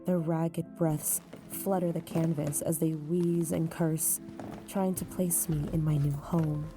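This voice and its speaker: Slow brooding woman narrator. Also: sits low, steady tone. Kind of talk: first-person dramatic narration. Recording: music bed behind.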